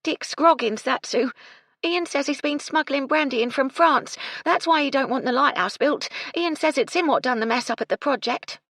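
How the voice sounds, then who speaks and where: A woman's voice: high voice, moderate pace, fairly bright tone, no echo, clean studio sound, pitched above the performer's own voice. A woman voicing a distraught, outspoken young housemaid in a story, with colloquial, ungrammatical servant speech. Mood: earnest, indignant.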